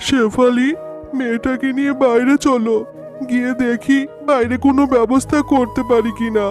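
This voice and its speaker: A devoted, troubled village father from a cartoon, played by a man, with an unusually high voice. He is poor, earnest and consoling, and music plays underneath. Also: fairly even intonation, normal speed, darkish tone. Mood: urgent, consoling. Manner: earnest, reassuring. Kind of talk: cartoon dialogue. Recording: music behind the voice.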